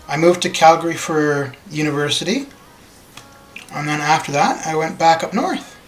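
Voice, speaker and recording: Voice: medium pitch, moderate pace. A streamer in their thirties from northern Canada, chatting casually with viewers in a rambling, hesitant way with many fillers. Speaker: game streamer. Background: faint background music.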